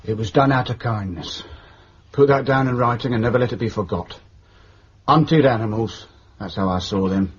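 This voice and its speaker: An actor playing a stubborn, respectful working-class undertaker in a radio drama, self-justifying and folksy. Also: male voice, mid-range voice, normal speed, breathy edge, highs cut off. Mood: defensive, wry. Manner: deferential, self-justifying, folksy turns of phrase. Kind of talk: British radio drama acting.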